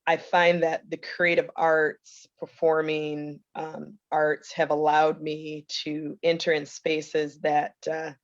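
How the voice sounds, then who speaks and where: A woman in her forties, a youth ministry leader, speaking in a measured, reflective way with frequent ums on a panel. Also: low-pitched, monotone, no room sound, muffled recording. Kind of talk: panel discussion. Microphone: video call.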